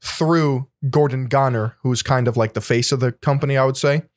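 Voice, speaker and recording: A man's voice: mid-range voice, steady tone, normal speed, dry recording. A laid-back man with a mid-range voice co-hosts a podcast, explaining things at length and often restarting his words. The recording is dry and close-miked. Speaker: podcast co-host. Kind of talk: casual podcast conversation.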